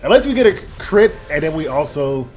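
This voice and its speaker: A man's voice: a little high, very animated, fairly quick, a little mellow. A man streaming a game, giving informal live commentary with wry, muttered asides. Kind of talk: casual live gameplay commentary. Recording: game music faintly behind voice. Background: game music and character voice lines.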